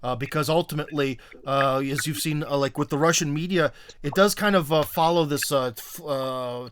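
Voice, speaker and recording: A man hosts in a loose, friendly tone, his voice sitting a little above the usual male pitch. He rambles with fillers and chummy asides. A faint background hiss stays under the recording. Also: fairly bright tone. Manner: laid-back, chummy, with filler-heavy asides. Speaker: show host. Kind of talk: casual interview chat.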